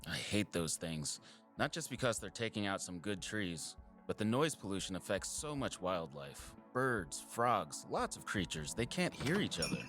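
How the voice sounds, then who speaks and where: An actor playing an earnest, caring male wildlife surveyor in an audio drama, casual, sincere and expressive. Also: on the low side, normal speed, soft background music. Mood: passionate. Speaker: habitat surveyor.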